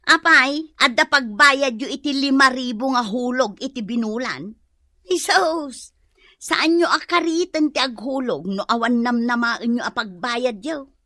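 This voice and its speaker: A high-voiced, spiteful sister-in-law in a radio comedy drama. She is nosy and bossy, scolding in a piercing tone, recorded dry and close. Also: unhurried, bright, forceful tone, no room sound. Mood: challenging. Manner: pushy, scolding.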